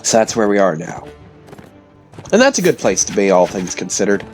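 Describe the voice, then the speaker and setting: A man with a hoarse voice from illness, telling rambling stories casually to his audience. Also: lowish voice, very animated, normal speed, faint music behind. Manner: meandering, self-interrupting, chatty. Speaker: vlogger.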